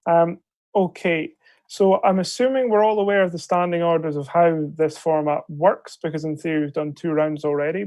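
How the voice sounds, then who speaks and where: A man with a fairly high voice that keeps breaking into a gravelly fry, chairing an online debate. He hesitates politely between phrases, and the sound is dry, from a video call mic. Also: a little mellow, no room sound. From Scotland. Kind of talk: procedural briefing on a video call. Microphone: video call microphone.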